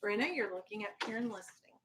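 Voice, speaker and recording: A woman's voice: medium pitch, lively intonation, quick pace, a bit bright, slight background noise. A woman teacher with a firm, instructive manner, reading a children's storybook aloud and talking to her class in a classroom. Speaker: elementary school teacher.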